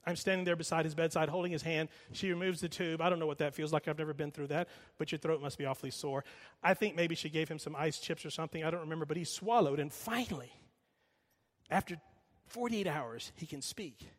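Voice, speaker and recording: Middle-aged male pastor, emphatic sermon delivery with a fairly high voice, recorded in a church sanctuary with long reverb. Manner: emphatic, slows down and repeats for emphasis, drops quiet when storytelling. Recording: long reverb of a large hall.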